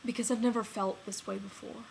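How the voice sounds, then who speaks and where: A woman's voice: mid-range voice, fairly even intonation, vocal fry, a little mellow, lightly acted, low-quality recording. Woman acting an earnest lovesick friend confessing, faint noise. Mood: earnest confession. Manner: earnest, halting, emphatic delivery with swells in volume. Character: lovesick man confessing love to his friend. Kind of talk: dramatic film monologue performed on stage. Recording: noticeable background noise.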